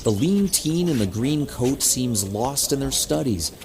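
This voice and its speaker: A man's voice: medium pitch, expressive, a little slow, crowd noise. A man reading scripted scene narration for an audio drama.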